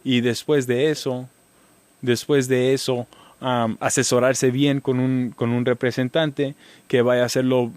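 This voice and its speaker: A man giving legal advice as a guest on a radio talk show, speaking haltingly with many restarts and fillers. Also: mid-range voice, darkish tone, dry recording. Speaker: immigration lawyer guest. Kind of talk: radio interview answers.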